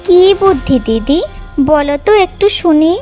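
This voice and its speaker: Spiteful, very high-voiced younger sister-in-law acted by a woman. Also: lively intonation, moderate pace, dark tone. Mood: catty, curious. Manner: scolding, catty, fawning. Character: spiteful, sharp-tongued younger sister-in-law, gleeful sidekick schemer. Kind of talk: exaggerated cartoon fairy-tale dialogue. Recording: music behind the voice.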